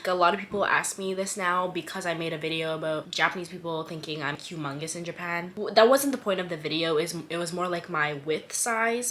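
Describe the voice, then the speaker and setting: A woman's voice: mid-range voice, bright, forceful tone, slight background noise. A woman in her twenties from Toronto, chatting through a casual vlog Q and A, sarcastic and swearing lightly. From Toronto, Canada. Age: 20s. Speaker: vlogger.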